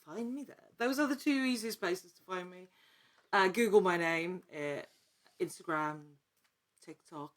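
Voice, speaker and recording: A woman with a slightly low voice speaks as a guest in a relaxed interview. She is earnest, working out her thoughts aloud and often breaking off to rephrase. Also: unhurried. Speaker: podcast guest, actor and actual-play storyteller. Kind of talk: casual interview conversation.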